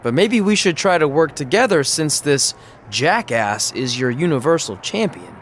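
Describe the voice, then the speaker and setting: Cocky, lively young champion. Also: male voice, on the high side, moderate pace, a little hiss or hum. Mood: sarcastic. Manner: flippant, sarcastic. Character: cocky young male wrestling champion, sarcastic. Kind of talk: video game cutscene acting.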